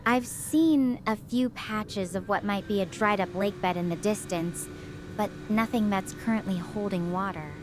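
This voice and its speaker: Woman doing a stranded researcher lady, earnest, thinks out loud, noisy recording. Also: mid-range voice, normal speed, close to an everyday voice. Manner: earnest, thoughtful, talking through her reasoning. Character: stranded young woman researcher, hopeful and determined. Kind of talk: conversational audio drama over a video call.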